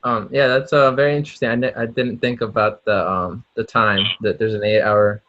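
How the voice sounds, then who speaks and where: A man's voice: fairly low pitch, fairly even intonation, brisk, a bit bright, dry recording. A young man acting as a student interviewer, asking questions conversationally over a dull, lo-fi connection.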